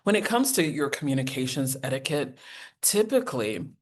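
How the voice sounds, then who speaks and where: A woman, teaching a professional etiquette masterclass in an earnest, encouraging way with deliberate enunciation. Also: very animated. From United States. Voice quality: deep voice. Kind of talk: masterclass lecture.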